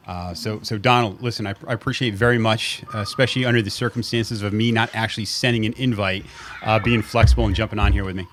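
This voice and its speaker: A man hosting a podcast, talking casually and haltingly, often repeating words as he sets up questions over a video call. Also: lowish voice, fairly even intonation, a bit bright, a little hiss or hum. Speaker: podcast host. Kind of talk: casual podcast intro and interview.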